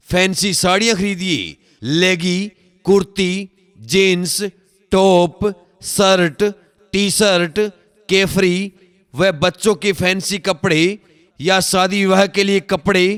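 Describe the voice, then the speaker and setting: Male promo announcer, unhurried and emphatic, phrase by phrase, heavy hall reverb on the recording. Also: high-pitched, fairly bright tone. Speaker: advertisement announcer.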